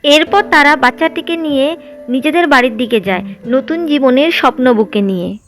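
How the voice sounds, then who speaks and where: A woman narrating a cartoon moral story in a steady, gentle way, with faint music behind. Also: high voice, moderate pace. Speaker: narrator and voice of Seema.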